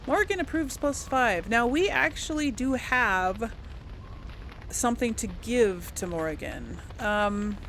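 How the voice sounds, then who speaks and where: A woman's voice: a little high, lively intonation, unhurried, a bit bright, slight background noise. A woman hosting a casual role-play let's play, speaking in a hesitant, thoughtful way. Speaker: gaming video host and role-player.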